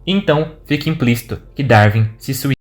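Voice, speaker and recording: A man with a Brazilian accent, reading a scripted retelling of an animated horror story as a video narrator. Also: medium pitch, fast. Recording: music behind the voice. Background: background music.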